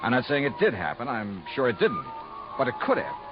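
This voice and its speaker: An American actor playing a shrewd, wry insurance investigator in a 1950s radio detective drama, speaking in a clipped, dry way. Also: male voice, medium pitch, big pitch swings, brisk, natural voice. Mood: brisk, wry narrating. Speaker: radio drama lead and narrator. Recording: dull vintage radio recording with music.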